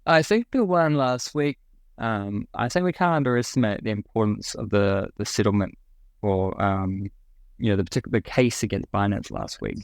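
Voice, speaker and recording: Man, New Zealand accent, low-pitched, dry recording, hesitant, casual interview guest. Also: normal speed, gravelly, no echo. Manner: hesitant, many fillers and restarts. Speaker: podcast guest.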